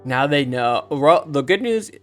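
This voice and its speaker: Man, let's play streamer, casual commentary, animated pitch, reacts aloud, faint music behind. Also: measured pace. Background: game music.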